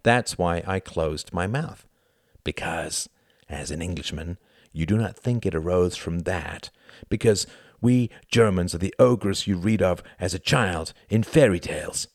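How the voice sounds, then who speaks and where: A man's voice: deep voice, a little slow, no echo, studio quality. A man voicing a devious, commanding German count in an audiobook, with a theatrical, falsely hearty manner. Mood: insistent, urging.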